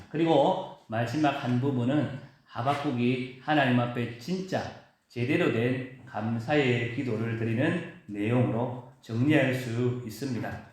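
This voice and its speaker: A male pastor giving a sermon in a church, preaching with emphatic rises and drawn-out stresses. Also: medium pitch. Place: church sanctuary. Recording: very echoey church hall.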